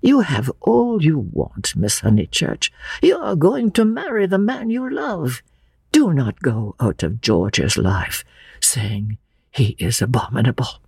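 A performer voicing a frail, gentle old man who speaks haltingly and in anguish, as in a dramatic audiobook. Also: medium pitch, wildly swooping pitch, gravelly, slightly rough, dry recording, clean studio sound, pitched above the performer's own voice, exaggerated voice. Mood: gentle, pleading. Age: older. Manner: halting, drawn-out, anguished delivery. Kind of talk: dramatic audiobook character reading.

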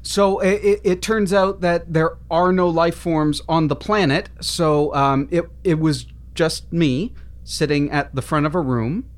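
Someone doing an awkward nervous employee, medium pitch, lots of stammering, earnest, audio comedy. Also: steady tone, lightly acted. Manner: halting, stammering, unsure.

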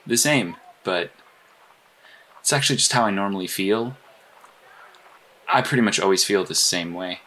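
A man acting as an earnest hero-industry agent in an audio drama. His voice sits a little low but has a bright, forceful edge. He speaks haltingly, stammering his way along in an awkward, apologetic manner. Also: animated, slight background noise, poor audio. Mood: subdued.

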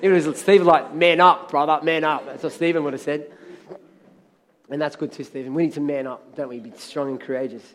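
Hoarse, high-voiced preaching man. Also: brisk, a faint crowd. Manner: energetic, emphatic preaching with repeated phrases. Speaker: pastor. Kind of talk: sermon.

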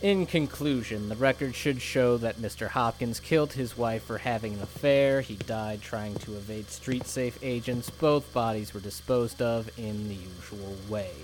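A man's voice: medium pitch, normal speed, few pauses, a little hiss or hum, lightly acted, low-quality recording. A man playing a cold, clipped government agent. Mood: dictating. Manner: flat, clipped official dictation. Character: cold, bureaucratic male investigator agent. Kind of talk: audio drama acting.

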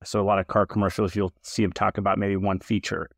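Male podcast host, low and dry, casual rambling delivery. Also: fast talker, gravelly, dry recording. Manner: relaxed, chatty, many fillers and restarts. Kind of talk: casual interview chat.

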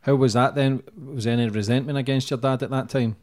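A man's voice: medium pitch, fairly even intonation, quick pace, a little mellow. A man hosting a podcast, punchy and hyped, swearing casually as he introduces and questions his guest. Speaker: podcast host. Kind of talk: casual interview.

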